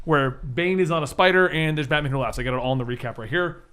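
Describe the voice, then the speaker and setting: A man's voice: on the high side, fast, a bit bright, clear, resonant tone. A man working as game master, hosting a tabletop superhero game, explaining rules and narrating scenes.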